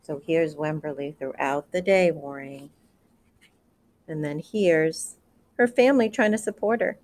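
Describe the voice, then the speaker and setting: A woman reading a picture book aloud to children, expressive, with asides and questions to her listeners. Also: low-pitched, measured pace. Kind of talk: read-aloud storytelling with commentary for children.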